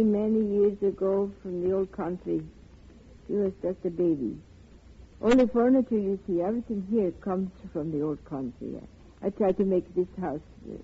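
An actress, speaking halting, sorrowful broken English. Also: female voice, medium pitch, breathy edge. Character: grieving, weary immigrant mother, listless and resigned. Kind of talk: 1950s radio drama acting. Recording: muffled, tinny old radio recording.

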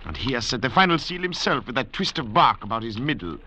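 Pompous, animated ringleader doctor. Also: male voice, mid-range voice, quick pace. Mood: cool disdain. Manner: pompous, precise, commanding. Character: haughty, calculating doctor, cool leader of escaped convicts. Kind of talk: 1950s radio drama acting. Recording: dull, noisy vintage radio recording.

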